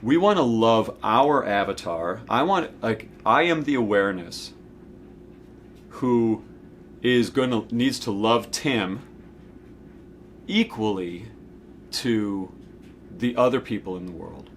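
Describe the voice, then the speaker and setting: A man's voice: on the low side, expressive, takes it slowly, long pauses, a little hiss or hum. A man in his forties gives an informal spiritual teaching talk to camera, conversational and emphatic, checking in with the listener as he goes. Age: 40s. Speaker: host of a spiritual self-help video series.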